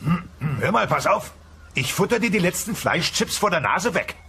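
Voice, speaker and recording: A man playing a boorish dinosaur husband in a comedy audio play, brash and quick to make whiny excuses. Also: high-pitched, big pitch swings, bright, forceful tone, a little hiss or hum, heavily acted. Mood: gloating. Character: loud, boorish dinosaur husband and son-in-law. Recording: music behind the dialogue.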